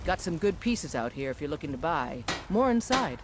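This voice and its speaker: An actor playing an earnest, eager blacksmith in a fantasy game. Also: male voice, on the high side, big pitch swings, normal speed, background noise. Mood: eager, friendly. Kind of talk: game character dialogue.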